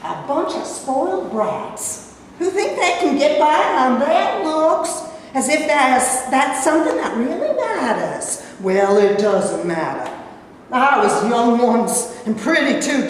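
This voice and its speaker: A woman's voice: high voice, big pitch swings, slow pace, full character voice. An actress playing a suspicious, domineering, street-wise homeless mother in a staged reading, with a folksy, scolding, sarcastic drawl. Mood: scornful, scolding. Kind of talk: theatrical staged play reading. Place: live staged reading in a hall. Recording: echoey hall, far mic, noisy.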